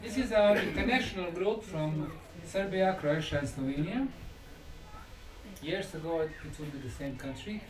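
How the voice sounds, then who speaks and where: A man giving a conference lecture in short, deliberate phrases with dramatic pauses and rhetorical questions. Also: a little high. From Bosnia. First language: Bosnian. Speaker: lecturer and researcher. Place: indoor lecture room at a conference. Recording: echoey room, distant mic, faint noise.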